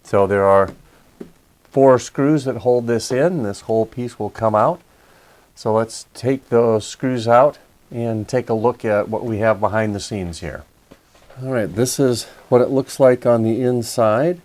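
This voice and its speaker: A man's voice: mid-range voice, a little slow, darkish tone. A man giving a casual hands-on repair walkthrough, explaining things methodically, step by step. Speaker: repair video host.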